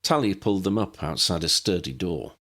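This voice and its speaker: A man's voice: low-pitched, animated, fairly quick, fairly bright tone. A man reading scripted fiction as an audiobook narrator.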